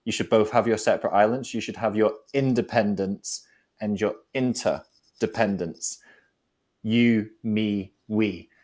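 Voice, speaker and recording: A man's voice: on the low side, fairly even intonation, measured pace, a little mellow. A man, a guest on a talk show, reflectively giving advice and building his points with analogies. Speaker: guest speaker.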